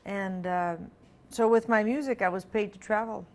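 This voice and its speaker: A middle-aged woman, French her first language, talks as a guest in a casual interview. Her speech wanders and restarts, full of ums and easy laughter, at an ordinary middle pitch. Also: fairly even intonation, moderate pace, a little mellow. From Alberta, Canada. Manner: chatty, many ums and restarts, laughs easily. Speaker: singer-songwriter guest.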